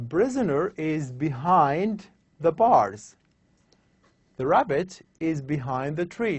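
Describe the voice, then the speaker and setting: A man lecturing on English grammar over slides, explaining slowly and repeating his examples. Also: on the high side, no room sound.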